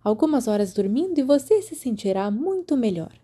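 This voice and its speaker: A Brazilian woman voicing a firm, caring school nurse with a brisk, motherly manner in an audiobook. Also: fairly high pitch, very animated, mellow, husky, pitched above the performer's own voice. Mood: sympathetic, insistent. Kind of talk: audiobook character voice.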